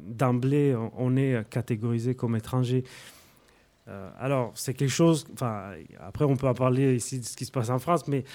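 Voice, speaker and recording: A young Italian man with an Italian accent, a musician answering interview questions in a halting way, full of fillers and restarts. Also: mid-range voice, a little mellow. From Italy. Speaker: musician guest.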